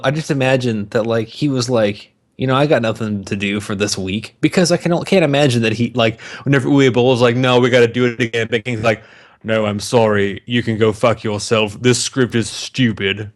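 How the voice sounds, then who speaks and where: A male podcast co-host with a mid-range voice talks casually and with plenty of profanity. He is opinionated and mocking, and tells things in an animated, conversational way. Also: mid-range voice, normal speed. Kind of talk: casual movie banter.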